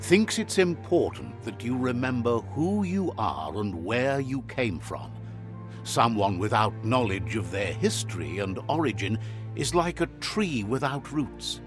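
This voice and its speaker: A man playing the wry, sage narrator of an animal fable game, telling it in a measured, philosophical storybook style. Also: mid-range voice, very animated, music in the background. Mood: reflective, sage.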